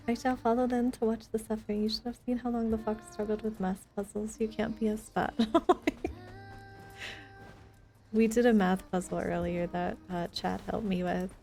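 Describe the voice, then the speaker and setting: A woman's voice: on the high side, little pitch movement, measured pace, pauses often, dark tone, faint music behind. A woman live streaming a video game, chatting casually and answering her viewers as she plays. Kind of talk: casual live stream chat while playing. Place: indoors, live game stream with music behind.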